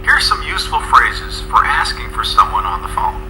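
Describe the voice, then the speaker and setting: A man narrating a scripted English lesson in a measured, explanatory way, as a presenter. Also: medium pitch, very animated, noisy background.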